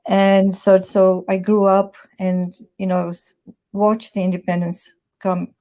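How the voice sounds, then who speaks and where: A woman's voice: medium pitch, flat delivery, measured pace, mellow. A woman in her sixties from Tanzania, a publisher, chatting as an interview guest with many "you know"s and restarts. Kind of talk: conversational interview.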